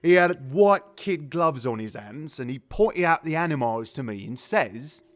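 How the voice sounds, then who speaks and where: An actor playing a grumpy but genial elderly zookeeper, telling things bluntly in rambling colloquial speech for an audio drama. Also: male voice, fairly high pitch, expressive, measured pace. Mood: storytelling, candid. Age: older. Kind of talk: audio drama character acting.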